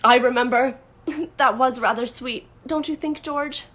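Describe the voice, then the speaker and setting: A woman reads the part of a gentle mother from a storybook. She is placid and affectionate, with a tender, soft-spoken way of addressing her family. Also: high-pitched, measured pace, voice pushed up. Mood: fond, reminiscing.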